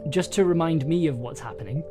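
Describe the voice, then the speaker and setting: A man's voice: a little high, brisk. A man livestreaming in a casual, hesitant way, thinking aloud and reading from his own story draft. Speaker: streamer and audio drama writer. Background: chill soundtrack music.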